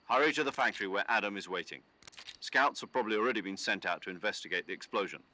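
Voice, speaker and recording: An actor playing an authoritative, earnest military commander in a video game, briefing in a firm, urgent, formal way. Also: male voice, fairly low pitch, fast, pushed and bright.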